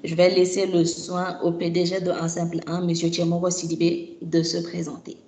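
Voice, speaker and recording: A woman's voice: on the low side, completely flat delivery, moderate pace, warm tone, roomy sound, a little hiss or hum. Polite woman moderating a webinar. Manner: polite, formal, structured announcements. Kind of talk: formal webinar hosting. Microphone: video call microphone.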